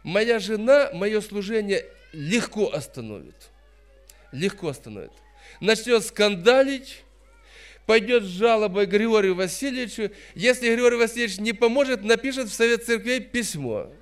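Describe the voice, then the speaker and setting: A man's voice: high-pitched, lively intonation, moderate pace, clear, resonant tone, soft background music. A man in his fifties preaching a sermon in an emphatic, admonishing way, repeating phrases for stress. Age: 50s. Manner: admonishing, didactic.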